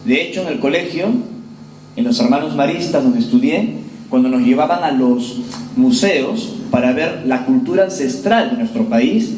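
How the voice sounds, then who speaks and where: A man in his forties from Peru giving a public lecture, telling his story to the audience in an earnest, measured way. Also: medium pitch, a little mellow, far from the mic, a little hiss or hum. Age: 40s. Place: lecture hall. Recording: roomy hall with faint ambience.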